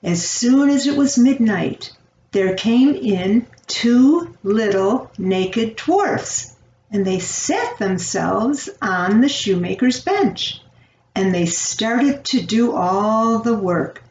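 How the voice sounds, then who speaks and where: An older woman reading a children's fairy tale aloud as a storyteller, with a gentle, unhurried delivery. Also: a little high, expressive. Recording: roomy, distant, dull home recording, faint noise.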